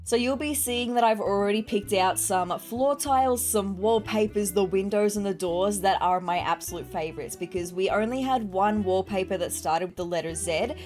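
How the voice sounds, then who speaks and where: A woman's voice: mid-range voice, darkish tone. A young Australian woman narrating a game build in a chatty, apologetic way, sounding slightly nasal while recovering from an illness. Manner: chatty and apologetic, quick asides.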